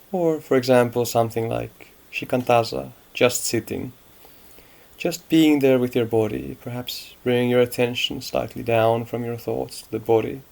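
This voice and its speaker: A man guiding a meditation in a calm, unhurried manner, leaving long pauses between phrases. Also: mid-range voice, vocal fry. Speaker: meditation guide. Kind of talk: guided meditation, unscripted instruction.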